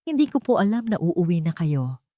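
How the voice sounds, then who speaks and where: A woman's voice: lowish voice, sing-song, normal speed, mellow, no room sound. A frightened young housemaid in an audio drama story, put on by a woman narrator. She speaks in a trembling, apologetic way, deferring to her employer. The sound is dry.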